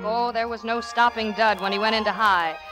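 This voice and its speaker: An actress playing a quick-witted, easily flustered columnist with an American accent, in a 1940s radio comedy. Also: female voice, on the high side, fairly even intonation, loud music behind the voice, natural voice. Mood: narrating.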